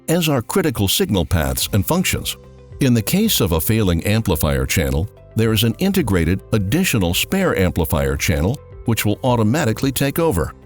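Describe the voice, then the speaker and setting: Man, scripted promo narration, animated intonation, lowish register, soft music underneath.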